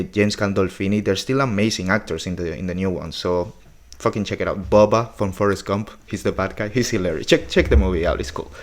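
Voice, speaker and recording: A man in his forties recapping a podcast episode in a casual, blunt, opinionated way, swearing often. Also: fairly low pitch. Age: 40s.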